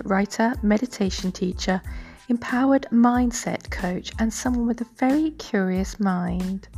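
Husky, gravelly-voiced woman podcast host. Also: mid-range voice, a little mellow. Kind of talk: scripted podcast introduction and moderation.

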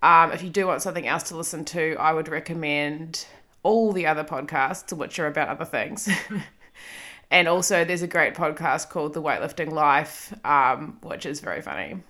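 A woman in her thirties with a clear New Zealand accent talks through a solo podcast episode. Her voice sits a little low but has a bright, forward edge. She speaks casually and pokes fun at herself, with plenty of ums. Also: normal speed. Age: 30s. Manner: chatty, self-deprecating, frequent ums and laughs. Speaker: podcast host.

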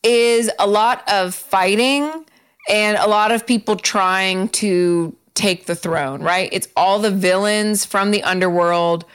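A woman co-hosting a podcast in casual chat, retelling scenes in an eager, animated way. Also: lowish voice, clear, resonant tone. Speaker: podcast co-host.

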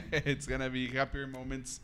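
A man's voice: mid-range voice, little pitch movement, unhurried, fairly bright tone, smooth. A young adult man in a casual, joking podcast conversation among friends. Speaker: podcast participant.

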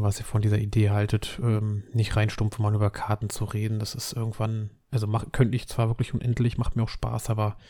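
Low, mellow man with a cold. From Berlin, Germany. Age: 30s. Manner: relaxed, rambling, many fillers and restarts. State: has a cold. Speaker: podcast host. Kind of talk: casual solo podcast chat.

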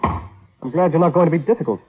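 An actor playing a wry, hard-boiled private detective who asks dry, clipped questions in an old radio drama. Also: male voice, a little high, fairly quick, mellow, natural voice, low-quality recording. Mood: wry, cool. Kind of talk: 1950s radio detective acting.

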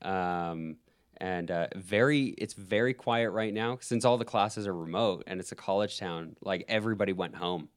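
Laid-back young Canadian man, clear voice. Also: sits low, moderate pace. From Alberta, Canada. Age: young adult. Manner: relaxed, laughs easily, frequent ums and restarts. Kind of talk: casual conversation.

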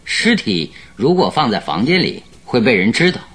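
A man's voice: mid-range voice, very animated, normal speed, fairly bright tone, husky. An actor playing a confident male detective in a radio drama, courteous and masterful as he lays out his deductions. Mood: confident, expository. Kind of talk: Chinese radio drama acting. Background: background music.

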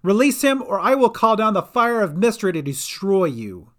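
A man's voice: high-pitched, sing-song, moderate pace, fairly bright tone, voice pushed up. A man voicing a bold, commanding female magic-wielder in a storybook, with an urgent delivery. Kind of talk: storybook character voice.

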